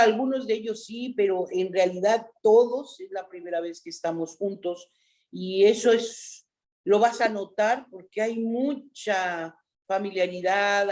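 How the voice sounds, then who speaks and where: A middle-aged woman with a Mexican accent, an actress chatting as an interview guest, telling stories in an animated way and often adding a questioning "¿no?". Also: lowish voice. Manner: animated storyteller, frequent "¿no?" tags. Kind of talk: casual interview chat.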